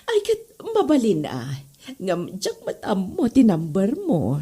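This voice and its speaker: Woman as animated, husky, easily charmed schoolteacher. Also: mid-range voice, takes it slowly, full character voice. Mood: coy. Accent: Northern Philippine. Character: female schoolteacher, stern reputation but easily charmed. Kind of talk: comedy audio drama acting.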